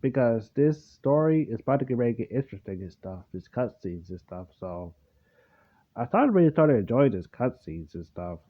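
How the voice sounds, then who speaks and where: A man hosting a reaction video, giving casual, halting, repetitive off-the-cuff comments on game cutscenes. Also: mid-range voice, sing-song. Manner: halting, repetitive, rambling asides. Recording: dull lo-fi microphone. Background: faint game music.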